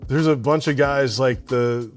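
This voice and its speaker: A laid-back male radio announcer talks casually at a middle pitch, trailing into fillers as he thinks. He chuckles now and then, and soft music plays low behind him. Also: fairly even intonation, measured pace. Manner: easygoing, laughs often, chatty with fillers. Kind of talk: casual interview.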